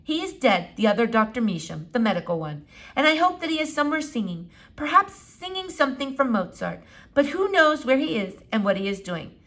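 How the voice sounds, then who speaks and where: A woman voicing an elderly foreign doctor of philosophy from a children's book, gentle and rambling, with formal, quaint phrasing. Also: a little high, very animated, a little fast. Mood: wistful, rambling. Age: older. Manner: formal, quaint syntax, digressive, soothing. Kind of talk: children's book read-aloud.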